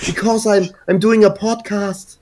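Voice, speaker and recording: Podcast co-host, male, slightly raised pitch, giggly storyteller calling in from a hotel room. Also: little pitch movement, a little slow, darkish tone, a little hiss or hum. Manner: rambling, laughs through stories. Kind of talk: casual chat.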